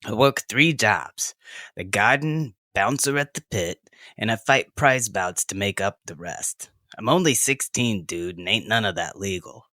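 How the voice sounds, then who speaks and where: A man's voice: lowish voice, animated, unhurried, pushed and bright, no echo. A man playing a tough, blunt, slangy sixteen-year-old half-orc girl in an audio drama. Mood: defensive.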